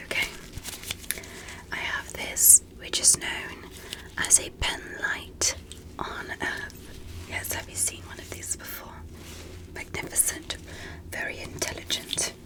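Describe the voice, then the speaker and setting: A whispered ASMR roleplay of a curious alien doctor, played by a woman. The delivery is slow, gentle and careful. The alien stays polite and clinical, examining a human with deliberate precision. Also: natural voice, rough recording.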